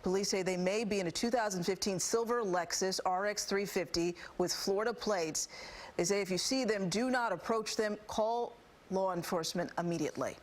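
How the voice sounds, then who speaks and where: A woman's voice: mid-range voice, normal speed, slight background noise. A woman with an American accent reading scripted news as an anchor, in a formal, polished broadcast delivery. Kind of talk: scripted TV newscast narration.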